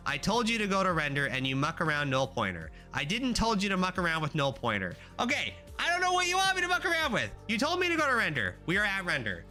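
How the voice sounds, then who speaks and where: A young man streaming himself coding, chatting casually with viewers, swearing and getting excited as he works. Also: high-pitched, quick pace, few pauses. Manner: excitable, swearing, talks to chat while coding. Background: faint music.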